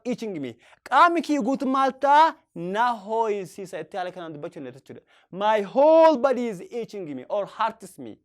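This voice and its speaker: Woman reading example phrases. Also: on the high side. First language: Oromo. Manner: switches languages, reads English examples very slowly with stress. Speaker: English teacher. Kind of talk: language lesson, reading example phrases slowly.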